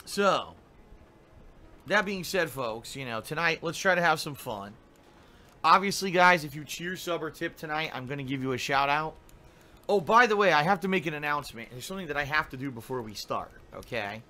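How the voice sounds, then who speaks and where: A man's voice: fairly high pitch, expressive, normal speed, bright, forceful tone, slight background noise. A middle-aged man streaming games live, rambling and complaining in a casual monologue full of fillers. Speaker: game streamer.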